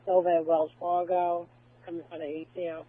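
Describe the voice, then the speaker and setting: Male radio voice, high-pitched and rapid, police transmissions. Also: completely flat delivery, husky, no echo. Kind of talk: radio traffic. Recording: dry, tinny police radio.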